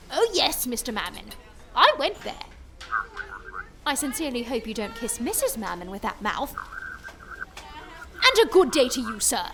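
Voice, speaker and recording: A high-voiced, imperious rich heiress in a sci-fi audio drama. Her diction is formal and posh, and her pitch swings widely with indignation. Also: sharp tone, heavily acted. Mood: icy indignation. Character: haughty, wealthy heiress, indignant over her ruin. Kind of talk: scripted audio drama acting.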